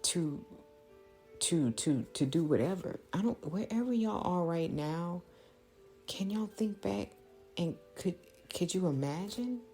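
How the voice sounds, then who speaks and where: A woman, thinking out loud in a reflective, prayerful monologue, halting and earnest as she repeats phrases. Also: lowish voice, animated, soft background music. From small-town Louisiana, United States. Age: late 30s. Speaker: podcast host.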